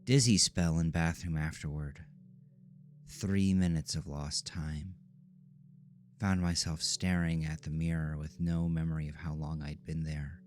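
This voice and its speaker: A man reading scripted horror audio drama narration in a measured, ominous storytelling manner. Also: extremely low pitch, steady tone, darkish tone, faint music behind.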